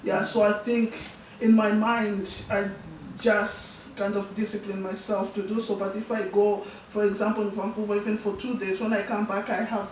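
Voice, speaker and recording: A young woman from Burundi whose first language is Swahili, speaking as a guest and answering audience questions in a soft, halting way, often laughing. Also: mid-range voice, little pitch movement, a little hiss or hum. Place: school hall. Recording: echoey hall, distant mic.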